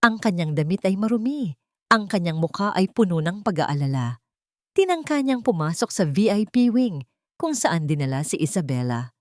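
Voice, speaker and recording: A Filipino woman storyteller with a fairly low voice. She delivers scripted, dramatic narration with a Filipino accent. The sound is dry, with no room around it. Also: sing-song, normal speed, no echo.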